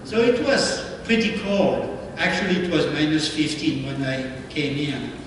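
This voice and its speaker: Older man, French accent, higher voice, halting presenter, echoey hall, far from mic. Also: lively intonation, a bit bright, a little hiss or hum. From France. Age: 70s. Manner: plain, halting, with frequent "uh". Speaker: guest presenter. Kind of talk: club slideshow talk. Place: meeting room.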